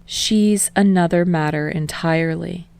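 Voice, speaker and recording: A woman reading a story aloud in an expressive, scripted narration style. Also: fairly low pitch, unhurried, vocal fry, clean tone, dry recording. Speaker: audiobook reader of fan fiction.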